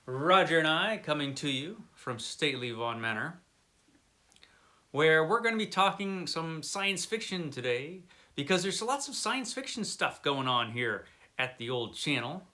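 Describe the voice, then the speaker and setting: A man's voice: on the high side, big pitch swings, a little slow, sharp tone. A man hosting a book channel, chatting casually to viewers and leaning on words for emphasis. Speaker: book review channel host. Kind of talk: casual book chat to camera.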